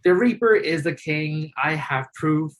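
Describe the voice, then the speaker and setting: A man's voice: fairly high pitch, measured pace, fairly bright tone, slight background noise. A man talking as a guest on a podcast, easygoing and enthusiastic in casual chat. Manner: easygoing, enthusiastic, quotes himself playfully. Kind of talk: casual chat about a TV drama.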